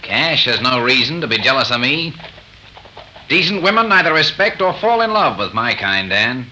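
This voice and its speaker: A man's voice: medium pitch, very animated, normal speed, bright, forceful tone. A man playing an easygoing, fair-minded frontier gambler with folksy phrasing in a 1930s radio drama. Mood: calm, candid. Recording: noisy 1930s radio recording.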